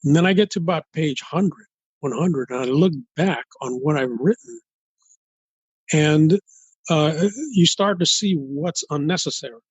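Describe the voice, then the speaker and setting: An older man from Los Angeles in an interview as a guest author, speaking in a halting, thoughtful way and building his points step by step. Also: a little high, slightly rough, no room sound, dull audio. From United States, Los Angeles. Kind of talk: interview conversation.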